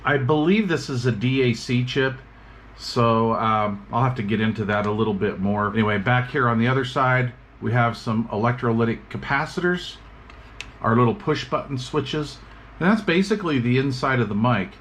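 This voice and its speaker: A man giving a relaxed, casual review of radio gear, chatting with plenty of fillers as he works at a tabletop. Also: medium pitch, moderate pace, a little hiss or hum.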